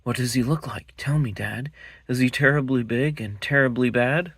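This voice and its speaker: A man voicing a small, brave monster child in a storybook reading, higher and louder than his narration. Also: normal speed, slightly rough, dry recording. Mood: excited.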